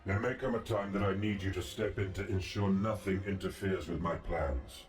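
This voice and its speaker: An actor playing a calm, solemn ancient god in a fantasy game, speaking in a formal, measured and imperious way. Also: male voice, sits low, close to an everyday voice. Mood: commanding. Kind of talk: scripted fantasy game dialogue. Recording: roomy, distant, music behind.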